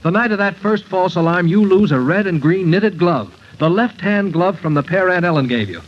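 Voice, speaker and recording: A young actor playing an eager, earnest fireman in an old-time radio drama, deferential and excitable. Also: high voice, normal speed. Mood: suspicious, probing. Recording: muffled, lightly noisy vintage radio recording.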